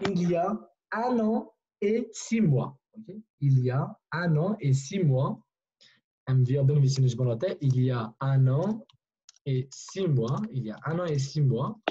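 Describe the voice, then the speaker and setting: Male French teacher, high mellow voice, encouraging, repeats phrases, lo-fi class recording. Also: lively intonation, measured pace, slight background noise. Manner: repeats learners' sentences, encouraging, switches languages. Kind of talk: classroom language lesson.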